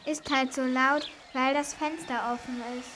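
A woman's voice: high voice, fairly even intonation, a little slow, pushed and bright, faint music behind. A female performer playing an eager, adventurous schoolgirl in an amateur radio play for children. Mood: curious.

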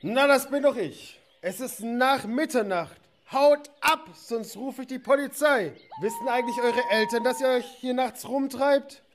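An amateur performer playing a gruff, greedy male circus director who barks brusque threats in a children's radio play. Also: high-pitched, measured pace, hardly any pauses, a little hiss or hum. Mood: angry, threatening. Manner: barking, brusque.

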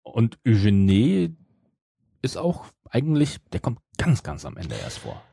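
A man's voice: medium pitch, huge pitch swings, a little slow, creaky, mellow, slightly rough, no room sound, clean studio sound. A middle-aged man in casual podcast talk, often hesitating and correcting himself as he retells things. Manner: hesitant, self-correcting, many broken-off restarts. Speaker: podcast co-host.

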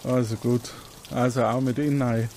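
A man voicing a grudging, folksy Bavarian guard in an audio mystery game, with a gruff manner and a Bavarian accent. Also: mid-range voice, little pitch movement, moderate pace, a little mellow, a little hiss or hum, natural voice.

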